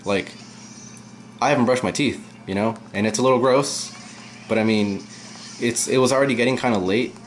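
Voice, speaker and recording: A young man giving casual, rambling commentary while playing a video game, his voice low and at times monotone. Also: moderate pace, long pauses, slight background noise. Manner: rambling, chatty, drifts off topic. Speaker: let's play gamer. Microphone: camera aimed at the game screen.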